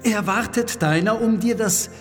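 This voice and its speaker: An actor playing a solemn, commanding high priest in a radio play, speaking formally and ceremoniously. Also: male voice, normal speed, lightly acted. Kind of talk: theatrical opera radio play. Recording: long hall reverb, music behind.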